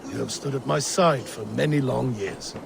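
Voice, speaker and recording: An actor playing an aging, ailing, proud Viking king in a video game, grandiose and gravelly. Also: medium pitch, noisy background. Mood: solemn, proud. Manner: grandiose, theatrical, deliberate. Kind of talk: dramatic video game acting.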